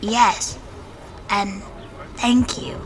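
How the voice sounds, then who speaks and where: Slow, calm kobold. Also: female voice, medium pitch, background noise. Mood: dutiful. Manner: calm, obliging. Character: calm, reasonable female kobold unit leader. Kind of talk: fantasy audio drama acting. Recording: loud music bed under voice.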